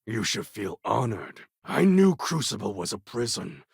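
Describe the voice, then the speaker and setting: A man playing a proud, brooding warlord and rebel leader in a video game, with a husky, gravelly voice and grave, formal, deliberate delivery. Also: fairly low pitch, big pitch swings, no room sound, studio quality, full character voice. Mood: grave, measured. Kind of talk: video game character acting.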